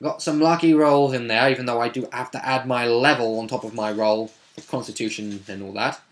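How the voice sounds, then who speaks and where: A man with a mid-pitched voice talks through a roleplaying session in an unscripted, casual way. He rambles a little, using wordy phrasing and side remarks. He often reworks a sentence as he tells the story. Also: steady tone, a little fast. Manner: rambling, wordy, with asides and self-corrections. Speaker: vlogger recapping a tabletop roleplaying session.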